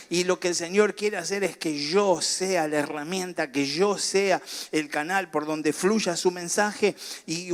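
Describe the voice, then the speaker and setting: A middle-aged man with a Rioplatense accent preaching a sermon, chatting and joking with the congregation before building to fervent preaching, with a slight cough. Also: high-pitched. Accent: Rioplatense Spanish. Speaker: pastor.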